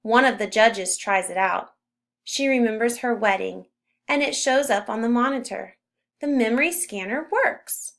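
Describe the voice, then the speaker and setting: A woman narrating a children's story as a read-aloud, with expressive emphasis on key words. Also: on the high side, measured pace, gravelly. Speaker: storybook reader.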